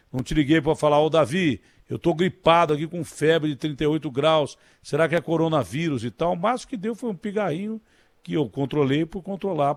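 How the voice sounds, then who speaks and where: Brazilian radio host, dry studio sound. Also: male voice, a little high, vocal fry, no room sound. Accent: Brazilian Portuguese. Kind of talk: radio interview.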